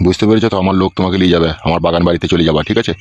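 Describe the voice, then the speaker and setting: A man's voice: sits low, fairly even intonation, racing, muffled recording, slight background noise, lightly acted. A man playing a sleazy, bossy village politician in a comic cartoon, speaking rustic slang. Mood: sly, lecherous.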